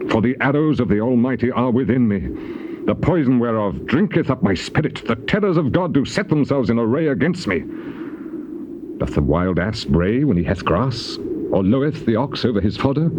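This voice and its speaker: A man's voice: on the low side, lightly acted. An actor playing an anguished, grief-stricken man lamenting in declamatory style in a dramatized Bible reading. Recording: muffled, noisy old cassette tape.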